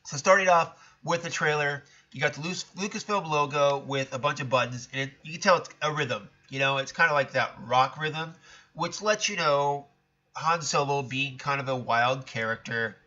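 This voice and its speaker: A man talks through his thoughts in a loose, rambling way, often stopping to correct himself. His voice is bright and forceful, and a cold leaves it stuffed up and nasal. The sound is dull and lo-fi. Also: a little high, normal speed. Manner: chatty, rambling, self-correcting. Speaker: podcast host. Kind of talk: casual solo commentary.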